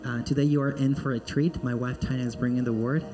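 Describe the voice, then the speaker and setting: A man's voice: medium pitch, fairly even intonation, fast, over music. A man from Peru, a missionary speaking in church in a friendly way and leading prayer. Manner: friendly and joking, then earnest in prayer. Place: church sanctuary.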